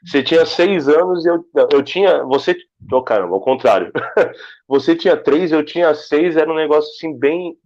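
A man of forty chatting casually as host, loudly exclaiming and steering the conversation. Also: a little high, normal speed, lo-fi sound. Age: 40s. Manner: animated, exclaiming, steering the talk. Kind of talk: casual chat.

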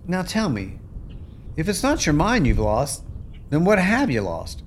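Man acting as weary American rancher, slightly high voice, hugely varied intonation, plain-spoken, radio drama. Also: huge pitch swings, a little slow, a little hiss or hum. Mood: wry, weary. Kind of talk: family radio play acting.

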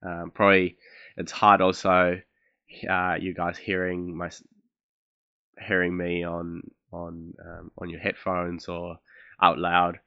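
A man's voice: sits low, completely flat delivery, slow, clean tone, no echo, lo-fi sound. A young man from Chile hosting his own podcast, talking in a rambling, hesitant, self-deprecating way, with an accent that blends American and Australian. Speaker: podcast host. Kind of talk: casual solo podcast monologue.

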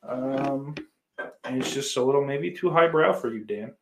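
A man's voice: mid-range voice, normal speed, vocal fry, a little hiss or hum. A man livestreaming in casual chat, rambling and swearing freely while reading out messages from his viewers. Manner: profane, rambling, self-deprecating. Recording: roomy echo.

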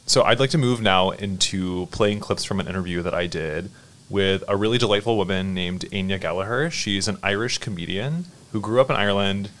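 Deep-voiced thoughtful male podcast host. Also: fairly bright tone. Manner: measured, thoughtful, asks reflective questions. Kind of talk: podcast conversation and segment introduction.

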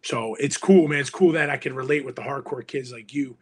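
A New man talks in a loose, slangy way, throwing in "yo" and curses. His voice is husky and a bit breathy, sitting at an ordinary pitch for a man. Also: fairly quick. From Hudson County, New Jersey. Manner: slangy, animated, heavy swearing and "yo". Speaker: musician guest. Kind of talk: casual podcast chat.

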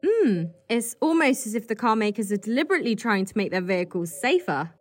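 A woman's voice: a little high, very animated, a little fast. A woman playing an acid-tongued, impatient political staffer in a comedy drama. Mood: exasperated, sarcastic. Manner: cutting, sarcastic, impatient. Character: acid-tongued, foul-mouthed female political staffer, scornful. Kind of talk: fast comedy audio drama dialogue.

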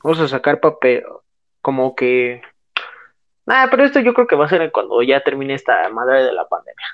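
Mexican man, mid-pitched, relaxed slangy co-host; muffled lo-fi recording. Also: normal speed. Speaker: podcast co-host. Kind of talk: casual chat about childhood cartoons.

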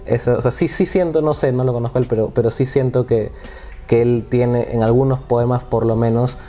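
A man's voice: medium pitch, fairly even intonation, a little fast, warm tone, soft background music. A man hosting a casual talk about poetry, speaking hesitantly with frequent restarts and tag questions. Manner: hesitant, many restarts and "¿no?" tags. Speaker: host.

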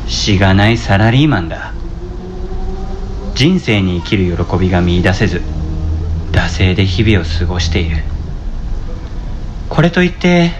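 A muffled recording with clear background noise carries a man acting as an aimless salaryman. His voice sits on the low side as he narrates his own radio drama in measured, brooding lines. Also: sing-song. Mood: weary, listless. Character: listless male office worker, adrift, narrating his own story.

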